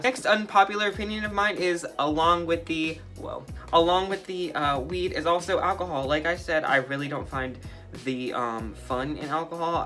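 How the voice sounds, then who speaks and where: A man's voice: on the high side, fairly bright tone. A young man with a light, feminine-sounding voice, casually sharing his opinions with many filler words as he makes slime. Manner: talkative and opinionated, heavy use of "like". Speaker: vlogger. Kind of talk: casual opinion chat while making slime.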